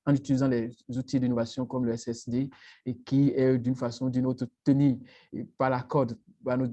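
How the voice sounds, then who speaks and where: A man speaking on a panel discussion in a measured, formal way. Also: mid-range voice, little pitch movement, warm tone, dull audio. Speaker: panelist, startup advisor.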